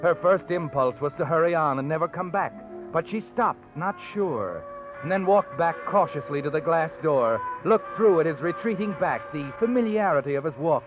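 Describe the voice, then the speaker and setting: Man playing ominous radio narrator, fairly high male voice, knowing, taunting, dramatic, loud music behind, dull vintage sound. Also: animated, normal speed, natural voice. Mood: suspenseful. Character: sinister omniscient male narrator needling a guilty woman. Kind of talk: 1940s radio suspense narration.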